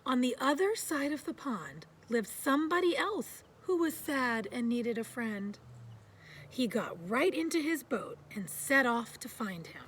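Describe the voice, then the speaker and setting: Lady reading a picture book to kids, real animated pitch, takes her time, gentle. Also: measured pace, a little hiss or hum. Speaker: storyteller. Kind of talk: children's picture book read-aloud.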